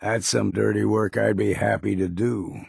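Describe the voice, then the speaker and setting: An actor playing a gruff, proud, older male island hunter in a video game, with a gravelly, husky voice and folksy, rustic speech. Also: lowish voice, fairly even intonation, moderate pace, no room sound, clean studio sound, full character voice. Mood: grim resolve. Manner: folksy rustic speech with dropped grammar and ain't. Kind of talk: video game dialogue acting.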